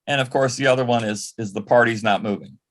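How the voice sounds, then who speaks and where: Older man as a panel guest, mid-pitched, swapping fond old anecdotes in a conversational, halting way. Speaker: panel guest, former celebrity assistant. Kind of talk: casual anecdotal storytelling on a panel.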